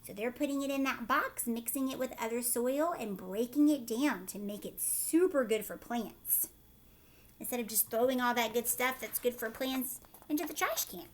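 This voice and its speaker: A woman teacher reading a picture book aloud to children, pausing to explain it in simple, instructive asides and check-in questions. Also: fairly high pitch.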